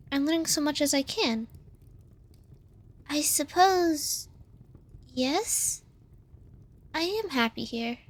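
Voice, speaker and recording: A woman playing a humble, earnest teenage griffin girl in an audio roleplay, speaking in a halting, modest way. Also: high voice. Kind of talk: scripted audio roleplay drama.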